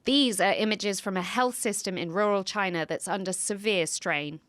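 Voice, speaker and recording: A woman reporter narrating a scripted news report in a measured, serious voice-over. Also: medium pitch, fairly bright tone, dry recording.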